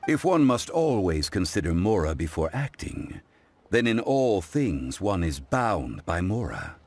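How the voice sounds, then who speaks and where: An actor playing a calm, refined gentleman connoisseur in a video game, speaking in a formal, measured, lecturing way. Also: deep voice, no room sound, heavily acted. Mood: calm, instructive. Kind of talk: English-dubbed video game acting.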